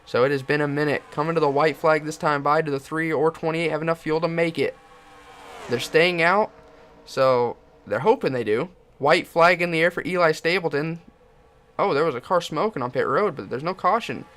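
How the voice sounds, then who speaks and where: A young man giving excited play-by-play commentary on a video game car race and hosting his channel, getting hoarse as he goes. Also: on the high side, normal speed, slight background noise. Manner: builds to shouting excitement at the finish.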